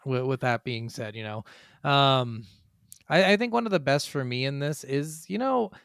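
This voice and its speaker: A man with a clear, smooth voice at an ordinary middle pitch hosts a casual gaming podcast. He talks bluntly and swears freely, and the recording is dry with no room sound. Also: expressive, normal speed, fairly bright tone, no room sound. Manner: blunt, profane. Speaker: podcast host. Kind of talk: casual chat reviewing a video game.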